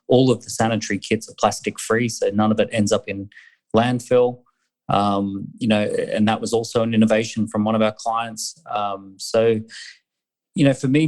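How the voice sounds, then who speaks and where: A man running an accelerator, talking earnestly with many fillers and restarts. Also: on the low side. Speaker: accelerator founder. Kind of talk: conversational interview.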